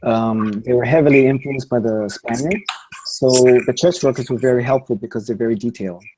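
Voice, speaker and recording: Mellow-voiced man, hesitant guest. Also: medium pitch, a little hiss or hum, poor audio. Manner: hesitant, many ums and pauses. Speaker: panel guest. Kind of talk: casual panel discussion.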